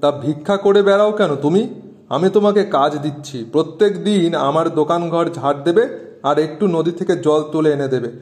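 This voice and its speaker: A man's voice: a little high, brisk, darkish tone, close to an everyday voice. A man voicing a greedy, hot-tempered dishonest village shopkeeper in a cartoon, with a belligerent, scornful delivery. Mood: condescending. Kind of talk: cartoon voice acting.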